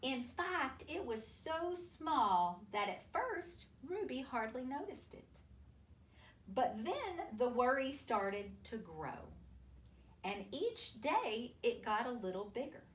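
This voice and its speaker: A woman reading a children's storybook aloud to families, with expressive, deliberate delivery and drawn-out emphasis. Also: high voice. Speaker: school superintendent reading a picture book aloud to families. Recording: roomy, distant mic with faint noise.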